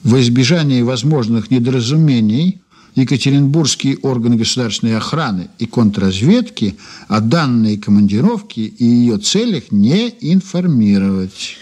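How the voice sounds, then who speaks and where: An actor playing a brisk, wryly intrigued male investigator in a Soviet radio drama, reading deliberately and expressively. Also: medium pitch, lively intonation, darkish tone, close to an everyday voice. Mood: measured, official.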